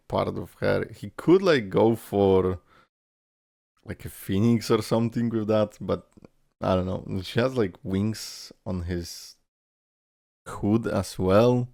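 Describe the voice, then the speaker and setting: A man giving casual reaction commentary, rambling as he thinks out loud. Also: on the low side, creaky, dry recording, studio quality. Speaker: reaction video host.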